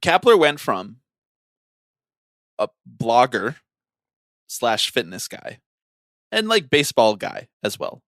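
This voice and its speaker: A man's voice: mid-range voice, sing-song, takes it slowly, pauses often, a bit bright, no room sound, studio quality. A man co-hosting a casual sports podcast, telling stories in a wry, opinionated way. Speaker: podcast co-host.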